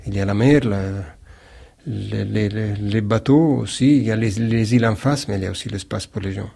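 A man from Naples whose first language is Neapolitan, speaking with an Italian accent as a writer in an interview, halting and searching for words with many repeated starts. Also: fairly low pitch, vocal fry, mellow, breathy edge. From Naples, Italy. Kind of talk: reflective interview answer.

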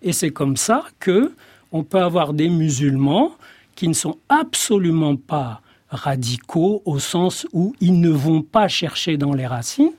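Slow, reflective older man from Morocco. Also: sits high, darkish tone, no room sound, clean studio sound. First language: Arabic. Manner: measured, reflective, with frequent hesitations. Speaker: guest. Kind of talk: intellectual panel discussion.

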